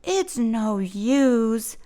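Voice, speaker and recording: A woman's voice: a little high, animated, extremely slow, dark tone. A woman voicing a gloomy, reluctant young male rock in a read-aloud picture book, sighing as he talks. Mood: dejected. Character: glum young male rock with a flat side.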